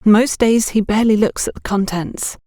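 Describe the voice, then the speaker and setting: A woman's voice: mid-range voice, steady tone, moderate pace, mellow, no room sound, clean studio sound, pitched above the performer's own voice, natural voice. A woman voicing a frightened young shop girl who pleads nervously and urgently in an audiobook story. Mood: confessing, pleading.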